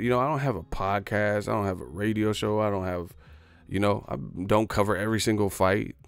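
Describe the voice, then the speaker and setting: A man's voice: lowish voice, gravelly, dry recording. A man ranting on a livestream in a boastful, profane, streetwise way. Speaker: boxing YouTuber. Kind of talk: livestream rant.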